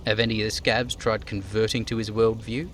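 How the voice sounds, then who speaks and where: A man's voice: lowish voice, level tone, brisk, a bit bright, slight background noise, lightly acted. A man voicing a police detective in a video game, asking questions in a hesitant, matter-of-fact way. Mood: sceptical. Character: male police detective, inquisitive and awkwardly evasive.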